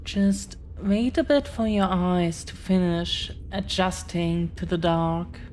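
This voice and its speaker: Woman acting as soft affectionate dragon girlfriend, super slow n hesitant, roleplay audio with noticeable background noise. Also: on the low side, fairly even intonation. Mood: tender, reassuring. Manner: gentle, hesitant, lingering pauses between phrases. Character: affectionate, protective dragon-descended girlfriend, shy and earnest. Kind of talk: roleplay audio, intimate scripted drama.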